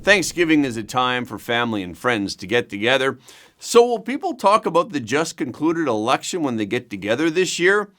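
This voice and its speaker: A man reading scripted news as an anchor, with a measured, emphatic delivery. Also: medium pitch, big pitch swings, fairly bright tone.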